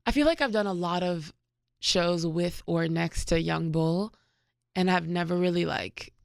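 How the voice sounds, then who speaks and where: A woman from Cameroon, a musician guest chatting in a relaxed, easygoing way in a radio studio. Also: on the low side, unhurried, creaky, dry recording. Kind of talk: casual interview chat.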